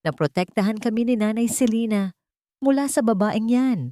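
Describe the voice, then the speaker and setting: A woman's voice: on the high side, normal speed, warm tone, no room sound, voice pushed up, close to an everyday voice. A young girl in an audio drama, voiced by a woman, pleading desperately. Her delivery is trembling and emotional, recorded very dry.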